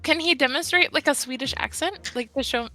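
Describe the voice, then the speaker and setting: A woman's voice: high voice, a little fast, pushed and bright, soft background music. A woman chatting casually on a livestream, inquisitive and blunt in her questions. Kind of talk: casual chat.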